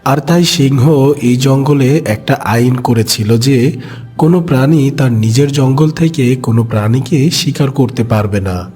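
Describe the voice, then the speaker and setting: Male narrator, scripted children's story, medium pitch, faint background music. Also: measured pace, dark tone, breathy edge.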